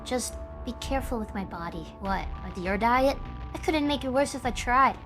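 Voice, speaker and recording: A woman's voice: a little high, expressive, moderate pace, close to an everyday voice. A woman acting as a scheming, vengeful young female shark idol in a dramatic audio drama. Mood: snide. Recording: echoey, loud music underneath.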